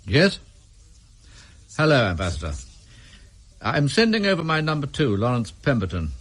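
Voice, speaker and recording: An actor playing a senior British intelligence official in a radio drama, formal, clipped and businesslike with an undertone of worry. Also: male voice, medium pitch, sing-song, normal speed, a bit bright, husky. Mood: worried, urgent.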